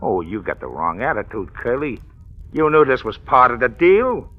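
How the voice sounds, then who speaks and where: A mocking gangster boss in 1940s radio crime style. He taunts in slangy tough talk at a middling pitch, with quiet menace, through muffled vintage audio. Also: very animated, normal speed. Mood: taunting, bossy. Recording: dull vintage radio recording.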